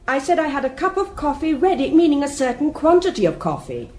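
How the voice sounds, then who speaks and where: A woman's voice: sits high, sing-song, a little fast, darkish tone. An actress playing a firm, guarded British woman giving precise testimony as the accused in a radio drama. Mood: defensive, firm. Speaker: defendant testifying in court.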